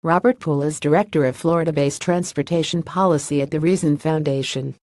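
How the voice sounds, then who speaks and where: A narrator reads a scripted article in a measured, formal manner. The voice sits at a medium pitch and stays impersonal. The sound is very dry, with no room around it. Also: steady tone, darkish tone, dry recording. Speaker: narrator reading an opinion article.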